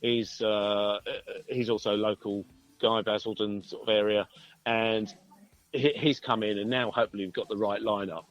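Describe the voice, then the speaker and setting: A man's voice: fairly low pitch, little pitch movement, normal speed. Guy in a band, hesitant rambly chat, bit muffled lo-fi line. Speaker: band musician, interview guest. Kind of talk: casual interview chat.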